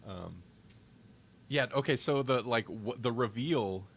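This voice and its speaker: A man hosting a podcast, giving a casual review in a halting, thinking-aloud way. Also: mid-range voice. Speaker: podcast host.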